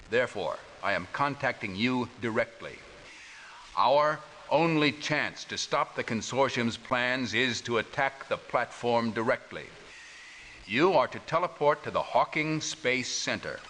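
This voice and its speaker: Bright-voiced stern resistance commander. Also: male voice, medium pitch. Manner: formal, authoritative, grave. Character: stern male resistance commander giving urgent military briefings. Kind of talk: scripted video game cutscene acting. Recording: echoey reverberant hall, clear background noise.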